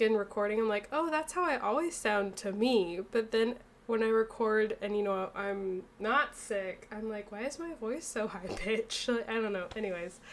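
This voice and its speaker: A young woman giving rambling, casual gameplay commentary, a bit nasal and still getting over a cold. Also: mid-range voice. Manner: rambling, chatty, lots of fillers. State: recovering from a cold, slightly nasal. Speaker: gaming YouTuber.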